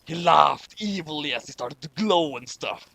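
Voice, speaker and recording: A man reading fan fiction aloud with casual, amused commentary, animated and mock-dramatic. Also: a little high, moderate pace, creaky.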